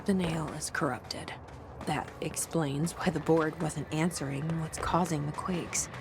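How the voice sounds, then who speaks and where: Low-voiced, determined young woman director. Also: fairly even intonation, a little slow, vocal fry, very noisy. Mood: guilty, determined. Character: young woman bureau director, determined, self-questioning, wry. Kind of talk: video game dialogue and inner monologue.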